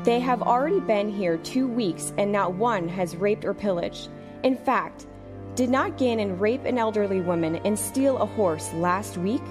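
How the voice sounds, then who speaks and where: A woman's voice: medium pitch, measured pace, natural voice. A sensible, exasperated queen, played by a woman in a comedic audio drama, with a mid-range voice. She questions and scolds in stern, formal phrases while music plays behind her.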